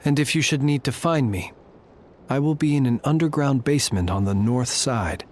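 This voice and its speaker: Solemn guard officer for a game, male actor: medium pitch, formal, reassuring, unhurried dignity. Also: a little mellow, a little hiss or hum, lightly acted. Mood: composed. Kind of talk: video game voice acting.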